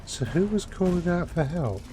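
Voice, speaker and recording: A man's voice: a little high, very animated, moderate pace, music in the background. A man with a cold giving casual gameplay commentary as he live streams. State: has a cold. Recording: clear background noise, game sound.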